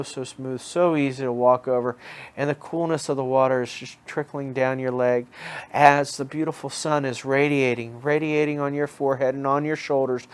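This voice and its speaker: A man with a mid-pitched voice leads a guided imagery session. He narrates like a hypnosis practitioner, soothing the listener. Phrases come back again and again in a hypnotic pattern. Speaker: guided relaxation storyteller.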